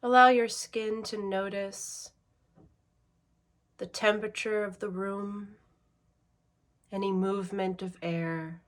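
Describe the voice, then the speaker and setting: A woman leading a guided meditation in a measured way, leaving long pauses between short phrases. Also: mid-range voice, fairly even intonation.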